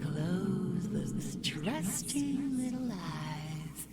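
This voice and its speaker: Seductive female nightmare villain, horror audio drama: very slow, creaky, breathy whispers, mocking and sinister, music underneath. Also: lowish voice, exaggerated voice. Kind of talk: horror audio roleplay acting. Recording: music behind the voice.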